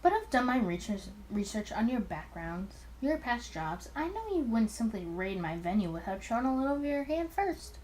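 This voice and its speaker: Girl doing a smug smooth-talking 20s gangster boss guy, game dialogue, higher voice, noisy mic. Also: sing-song, normal speed, few pauses, a little mellow. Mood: confident. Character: smooth-talking, arrogant male 1920s mafia boss.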